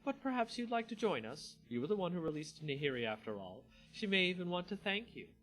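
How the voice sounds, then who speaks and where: Fantasy storybook vampire hostess, played by a man: high lilting pitch, playfully theatrical, sweetly polite menace, music behind. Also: sing-song, fairly quick, fairly bright tone, poor audio. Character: dainty, giddy, floating female vampire aristocrat hostess. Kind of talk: dramatic fantasy story reading.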